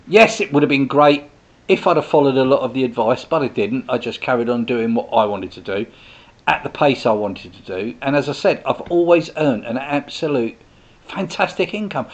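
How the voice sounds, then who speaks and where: A man's voice: mid-range voice, lo-fi sound. Chatty man in his sixties. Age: 60s. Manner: chatty and rambling, with frequent restarts and asides. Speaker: host, direct sales business owner. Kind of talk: casual monologue to viewers.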